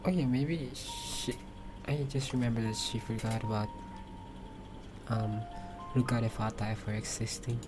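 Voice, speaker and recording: A woman's voice: extremely low pitch, steady tone, normal speed, pauses often. A woman gaming streamer giving casual, hesitant commentary, musing aloud as she plays through a story quest. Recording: game music behind the voice.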